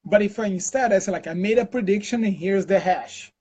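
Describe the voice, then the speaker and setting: A Brazilian man with a Brazilian accent, answering interview questions in a relaxed, chatty way full of fillers and restarts, over a video call. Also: high-pitched, a little fast. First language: Portuguese. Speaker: interview guest, scientist. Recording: dull lo-fi call audio.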